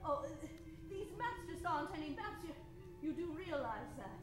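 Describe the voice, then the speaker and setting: Actress as a very high-voiced plucky adventuress. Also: female voice, animated, moderate pace. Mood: dramatic worry. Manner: breathless melodrama, hesitant repetitions. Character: plucky, anxious young adventuress, a lost explorer's daughter. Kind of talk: old-time radio adventure acting. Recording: roomy stage recording, music underneath.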